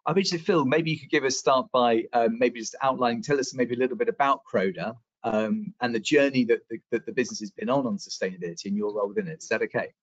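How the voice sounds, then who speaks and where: A man's voice: medium pitch, fast talker, hardly any pauses, no echo. A man hosting and moderating a webinar panel on a video call, hesitant, with many fillers and restarts. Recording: dry dull webcam audio.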